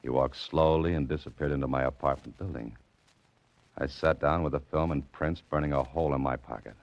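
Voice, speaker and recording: An actor playing a hard-boiled private detective, narrating in terse, wry 1940s radio style. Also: male voice, very deep voice, steady tone, fairly quick, highs cut off. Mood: tense.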